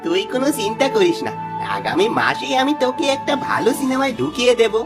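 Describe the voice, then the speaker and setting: A man's voice: very high-pitched, very animated, quick pace, music in the background. A man voicing a sly, smug middle-aged village swindler in a cartoon fable, with a wheedling, theatrical delivery. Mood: flattering, coaxing. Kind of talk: Bengali cartoon fable voice acting.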